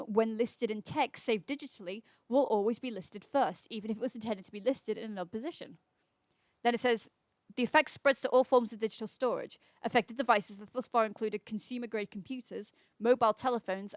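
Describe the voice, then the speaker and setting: Higher-pitched woman reading aloud on stream. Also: dry recording, clean studio sound. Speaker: livestream host. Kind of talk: casual livestream read-aloud with chat replies.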